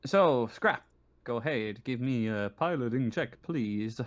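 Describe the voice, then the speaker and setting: A man acting as game master, casually narrating a tabletop roleplaying game in a halting way, searching for words. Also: mid-range voice, expressive, no room sound.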